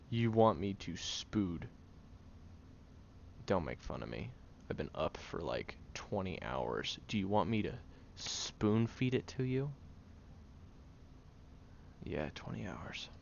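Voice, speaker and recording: A man voicing a gruff, tired young tsundere man who secretly cares, halting and grumpy, in an intimate audio roleplay. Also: deep voice, very animated, heavily acted. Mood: flustered, tired. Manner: halting, sighing, grumpy and flustered. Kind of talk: intimate audio roleplay, acted monologue to the listener. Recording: dull lo-fi, clear background noise.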